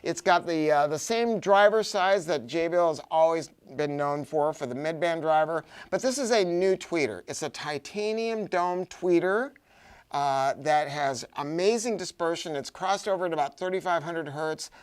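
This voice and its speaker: An American man giving an enthusiastic, unscripted product pitch, chatty and emphatic, often repeating words for stress. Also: normal speed, smooth. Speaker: audio shop owner presenting a product review.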